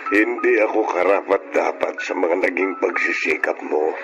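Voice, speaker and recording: A man's voice: deep voice, moderate pace, fairly bright tone, lo-fi sound. Proud, wayward Filipino father. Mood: ashamed. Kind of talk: Filipino radio drama acting. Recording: faint music under radio drama dialogue.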